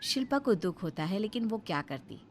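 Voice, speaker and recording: A woman's voice: medium pitch, big pitch swings, a little fast, soft background music. A woman narrating a scripted Hindi family moral story as a storyteller.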